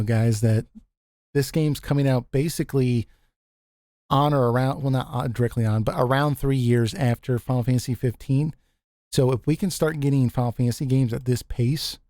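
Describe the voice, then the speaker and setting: A man with a deep voice hosting a casual group chat, easygoing and quick to laugh, often restarting his sentences. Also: darkish tone, dry recording, clean studio sound. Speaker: podcast host.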